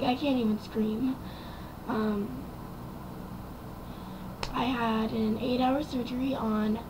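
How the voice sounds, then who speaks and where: A young woman speaks to camera in a raspy, quiet voice, a little higher than most. She rambles through her story with frequent ums and likes. The home recording carries clear background noise. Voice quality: raspy and quiet from vocal cord damage. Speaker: vlogger. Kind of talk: casual personal story to camera.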